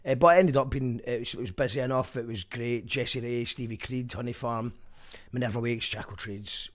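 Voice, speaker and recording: A man hosts a casual radio chat at a mid pitch. He talks in a friendly, halting way, with fillers and repeated words as he gathers his thoughts. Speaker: radio show host. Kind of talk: casual interview chat, reading listener comments.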